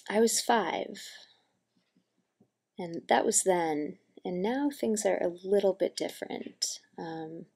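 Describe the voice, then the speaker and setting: Woman acting a halting, creaky-voiced earnest young person. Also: mid-range voice, darkish tone. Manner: halting, deliberate phrases with pauses. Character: young deaf signer, earnest, wistful, hesitant. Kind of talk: dramatic monologue.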